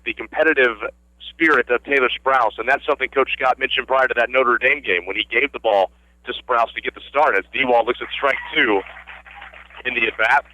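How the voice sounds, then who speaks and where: A man's voice: mid-range voice, pushed and bright, no echo, lo-fi sound. A man working as a radio announcer, giving live baseball play-by-play in short, descriptive phrases from the ballfield. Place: outdoor ballfield broadcast position.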